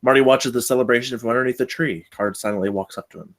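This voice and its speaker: A man's voice: medium pitch, fast. A man reading screenplay narration and stage directions aloud at a table read.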